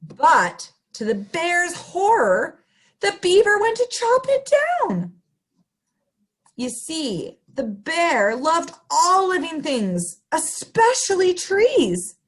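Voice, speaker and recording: A woman's voice: very high voice, wildly swooping pitch, slow. A woman hosting story time, reading to young children in an exaggerated, sing-song, interactive way. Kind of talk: animated picture-book read-aloud for young children.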